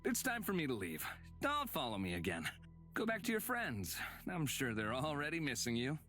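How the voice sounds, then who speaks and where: A man voicing a cryptic, aloof stranger in a visual novel, mocking and measured with an ominous edge. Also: medium pitch, wildly swooping pitch, bright, forceful tone, faint music behind. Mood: dismissive. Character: cryptic, taunting male stranger, shrewd and aloof.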